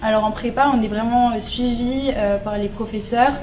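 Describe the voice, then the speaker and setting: Young woman, student, high-ish voice, many fillers, echoey, noisy background. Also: fairly even intonation, normal speed, a little mellow. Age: young adult. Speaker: prep school student. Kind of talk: interview answers. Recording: echoey room with clear background noise.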